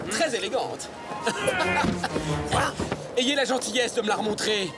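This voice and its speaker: A cocky young cartoon swashbuckler. The voice is very high for a man and moves around animatedly. He is mock-polite and theatrical, with loud music behind. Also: animated, measured pace, over a noisy crowd. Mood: teasing, playful. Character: playful, cocky young masked swashbuckling hero. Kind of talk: animated adventure cartoon dubbing.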